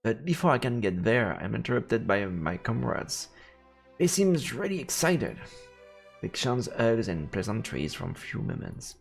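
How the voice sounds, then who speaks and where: A man's voice: on the low side, big pitch swings, measured pace, creaky, faint music behind. A man streaming a visual novel, reading the narration aloud and adding casual reactions.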